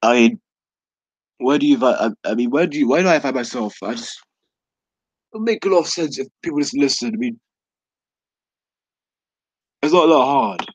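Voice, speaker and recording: A teenage male vlogger with a mid-pitched voice, recorded dry and close. He sounds tired and talks in a halting, repetitive ramble, often leaving sentences unfinished. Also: big pitch swings, creaky, husky, dry recording, poor audio. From United Kingdom. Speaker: video creator talking to subscribers. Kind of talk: casual rambling chat to viewers.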